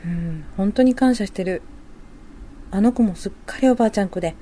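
A woman playing a working young mother and wife in a radio drama, talking softly and conversationally as she thinks aloud. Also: mid-range voice, a little fast, a little hiss or hum, close to an everyday voice. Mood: concerned. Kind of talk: amateur radio drama dialogue.

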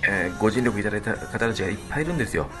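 A man with a very low voice talks quickly and with lively pitch movement over faint music. He explains things politely on a radio show, in short halting phrases. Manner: polite, halting phrases with frequent agreeing interjections. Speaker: radio show co-host, band leader. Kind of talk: conversational radio talk, explaining. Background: background music.

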